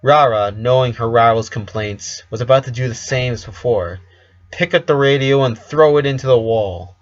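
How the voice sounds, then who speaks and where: A man reading a scripted story as an amateur narrator, halting and stumbling a little over the text. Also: medium pitch, muffled recording.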